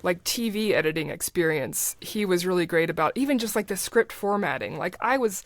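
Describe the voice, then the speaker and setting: A woman's voice: fairly low pitch, animated, a little fast, no room sound. A middle-aged woman talking casually as a podcast guest, explaining things in a conversational way. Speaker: podcast guest, writer and producer.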